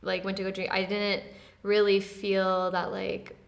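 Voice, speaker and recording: A woman in her twenties, talking as an interview guest in a relaxed, soft-spoken way with light laughs and many fillers. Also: mid-range voice, unhurried, creaky. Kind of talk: casual interview conversation.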